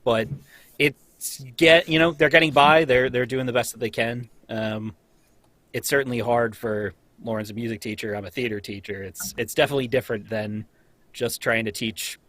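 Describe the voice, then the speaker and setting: Man, American accent, bright edge to the voice, casual conversational talk, dry close recording. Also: medium pitch, fairly even intonation, moderate pace, no echo. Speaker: college theater teacher. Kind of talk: casual group chat.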